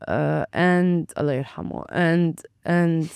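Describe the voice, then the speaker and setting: A young woman from Lebanon tells her story as a restaurant owner and guest. She is chatty and animated, and she acts out her own thoughts as she goes. Her voice is smooth and clear in a dry, close recording. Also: deep voice, slow, no echo. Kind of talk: casual interview.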